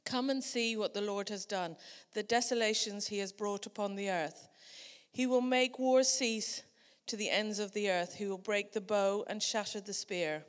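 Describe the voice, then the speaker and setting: A woman reads scripture aloud at a steady, middling pitch. Her voice carries a long, echoing reverb from the church around her. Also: moderate pace. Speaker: scripture reader. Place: church hall.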